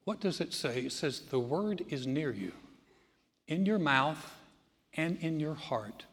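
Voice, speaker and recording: A pastor preaching to his congregation, his voice sitting a little high for a man. He shifts from easy conversational teaching into loud, emphatic preaching. The sound carries a long reverb from a large church hall. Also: expressive, slow pace, vocal fry. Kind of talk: sermon.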